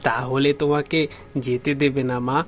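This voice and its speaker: An aging father in an audio drama, played by a man, humiliated and anguished. He speaks very slowly in a mid-pitched voice, stammering and pleading, with music behind him. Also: little pitch movement, lightly acted. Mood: sad. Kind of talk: audio story acting.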